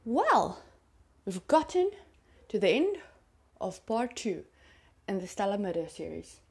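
A woman's voice: medium pitch, very animated, a little slow, a little mellow, husky. A South African woman whose first language is Afrikaans, telling a true crime story in a chatty, confiding way to her listeners. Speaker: podcast host.

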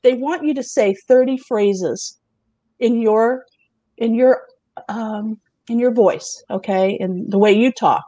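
Woman, middle-aged, casual show host, chatty, laughing, fairly high voice. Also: takes it slowly, warm tone, no echo. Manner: chatty, laughs often, asks the others questions. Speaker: host presenting remote job openings. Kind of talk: casual chat show presentation.

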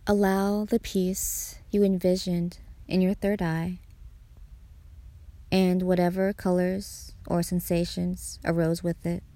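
A woman guiding a meditation in a soothing way, pausing between short phrases. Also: on the low side, little pitch movement, dark tone, no room sound. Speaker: meditation guide, podcast host. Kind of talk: guided meditation narration.

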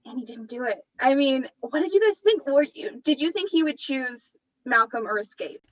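A woman's voice: high-pitched, normal speed, far from the mic, dull audio. A young woman co-hosting a casual podcast, chatting and laughing as she recaps a TV episode. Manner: chatty, laughs often, animated asides. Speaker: podcast co-host.

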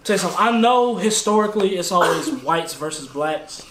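A man's voice: sits high, a little fast. An American man telling comic stories in casual, slangy banter. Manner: animated, slangy. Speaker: comedic storyteller. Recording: echoey room with clear background noise.

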